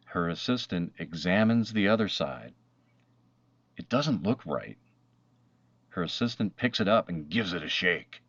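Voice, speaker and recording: Deep-voiced man reading a picture book expressively. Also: no echo, dull audio. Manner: expressive, dramatic emphasis with deliberate pauses. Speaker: storybook narrator. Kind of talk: read-aloud of a children's picture book.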